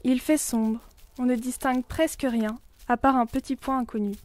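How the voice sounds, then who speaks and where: A woman's voice: sits high, steady tone, moderate pace, darkish tone. A young woman reading a scripted, intimate literary journal as a narrator. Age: young adult. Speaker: student narrator.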